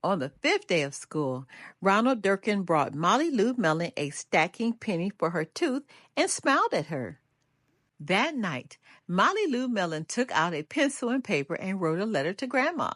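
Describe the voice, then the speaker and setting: An older woman reading a children's picture book aloud as a storyteller, with an animated, deliberate delivery and drawn-out refrains. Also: mid-range voice, dry recording, studio quality.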